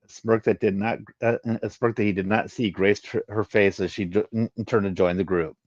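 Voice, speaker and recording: A man reading a sci-fi adventure story aloud in a halting way, stumbling and repeating words. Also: fairly low pitch, steady tone, no room sound, lo-fi sound. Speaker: reader of a web story, channel host.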